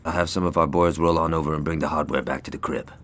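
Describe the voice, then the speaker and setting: A man's voice: extremely low pitch, fairly even intonation, fast, a bit bright. An actor playing a brash, hot-headed male gangster who is cocky, sarcastic and foul-mouthed. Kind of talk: video game cutscene acting.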